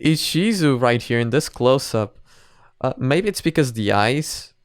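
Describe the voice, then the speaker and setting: Clear-voiced man giving casual commentary. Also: medium pitch, expressive, measured pace, dry recording, studio quality. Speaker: reaction video host.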